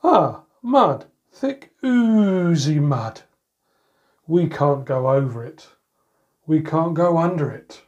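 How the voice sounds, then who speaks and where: A man's voice: on the high side, animated, takes it slowly, a little mellow, highs cut off. A middle-aged man reading a picture book aloud to young children as a grandad, expressively, with playful sound words. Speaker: grandad reading bedtime stories. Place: indoors in a room at home, by a door.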